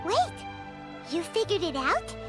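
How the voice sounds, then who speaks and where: Squeaky, very high-pitched tiny fairy companion. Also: female voice, expressive, a little slow. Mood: surprised. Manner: squeaky, childlike, questioning. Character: tiny childlike floating fairy companion, eager and inquisitive. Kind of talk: scripted video game dialogue. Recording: loud game music behind the voice. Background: game music.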